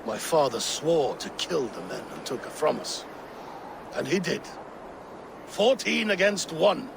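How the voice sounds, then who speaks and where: Slow, gravelly, weary Viking king. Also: mid-range voice, full character voice. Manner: slow, halting, solemn storytelling delivery. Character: grieving, weary, ailing Viking king, proud and brooding. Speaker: video game character actor. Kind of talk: dramatic game cutscene acting. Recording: game ambience noise behind the voice.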